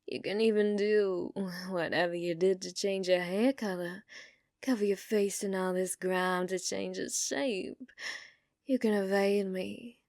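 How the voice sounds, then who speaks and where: A woman's voice: medium pitch, creaky, a little mellow, no room sound, clean studio sound. A woman playing a dominant, possessive female bounty hunter in an ASMR roleplay, taunting and sultry, often dropping into whispers.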